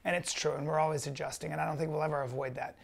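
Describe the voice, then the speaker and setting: A man hosting a podcast, with a gravelly, creaky voice pitched a little above the male middle. He chimes in with short agreements and slower, reflective remarks. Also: little pitch movement. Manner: brief affirmations and reflective asides. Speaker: podcast host. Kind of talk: casual podcast conversation.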